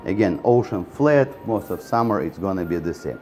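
A middle-aged man with a fairly low, mellow voice speaks in as he leads a walk-through of a condo. His talk is chatty and full of repeats, and he keeps calling the viewers "guys." Soft background music plays faintly under him. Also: animated, moderate pace. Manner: chatty, repeats "guys" and "again", non-native grammar. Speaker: real estate agent. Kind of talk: walk-through property tour. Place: bright condo, indoors and on an ocean balcony.

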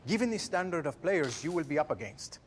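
An actor playing an eager, pushy press reporter at a football event in a video game. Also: male voice, a little high, very animated, brisk, slight background noise. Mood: probing. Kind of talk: video game cutscene acting.

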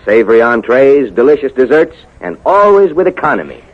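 A man's voice: medium pitch, very animated, brisk. An American man doing scripted announcing for a 1950s radio show and its commercial. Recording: muffled 1950s radio recording, music.

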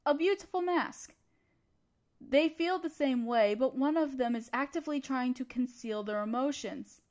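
A woman's voice: high voice, normal speed, darkish tone, lightly acted. A woman playing a young female student, a teasing but encouraging study partner, in an intimate audio roleplay addressed to the listener. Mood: studious, wistful. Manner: teasing, conversational, affectionate.

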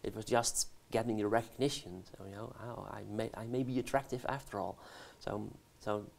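A man's voice: lowish voice, vocal fry, a little mellow. Dutch-accented male academic in his forties, stammering restarts, lapel mic in a studio. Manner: halting, many restarts and fillers, laughs easily. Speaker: guest researcher. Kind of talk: casual interview answers. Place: studio set.

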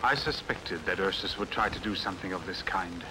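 Guy doing a sly accusing courtier in an old sandal epic, really lively intonation, lots of noise behind. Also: low-pitched, brisk. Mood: smug, unctuous. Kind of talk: theatrical film dubbing. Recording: noisy old film soundtrack.

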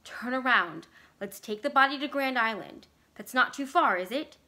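A woman's voice: high voice, expressive, normal speed, sharp tone, voice pushed up. A woman voicing a frightened, strained wife in a story reading, emphatic and pleading. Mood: anxious.

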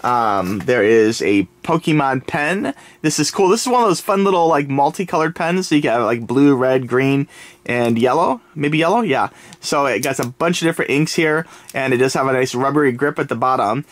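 A man's voice: medium pitch, animated, moderate pace. A man hosting a toy unboxing video, talking casually to viewers while sniffly from a summer cold, rambling with many fillers and restarts. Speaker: toy channel host. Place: indoors at a table.

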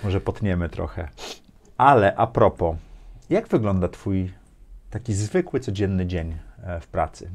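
A man's voice: deep voice, animated, measured pace. A man in his forties hosting a podcast interview in a casual, conversational style. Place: small furnished studio room with bookshelves, indoors.

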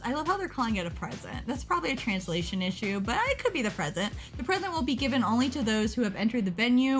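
A young woman from the United States vlogging about a model kit event, excitable and rambling, interrupting herself and laughing, a little tired. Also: a little high. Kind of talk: casual chat over a webpage.

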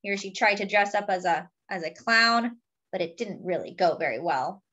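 A woman reading a picture book aloud to young children and commenting on the pictures, expressively and with pauses for emphasis. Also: mid-range voice, pushed and bright, muffled recording. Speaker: children's storybook reader.

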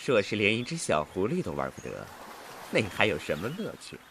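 Man playing a lively, teasing male immortal. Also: on the low side, brisk, background noise. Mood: smug, fond. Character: playful young-sounding male immortal, teasing and genteel. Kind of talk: Chinese costume audio drama acting.

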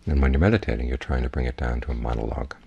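A man with a husky voice giving a guided meditation talk as a Buddhist teacher, in measured, plainspoken instruction. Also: a bass voice, dark tone, lo-fi sound.

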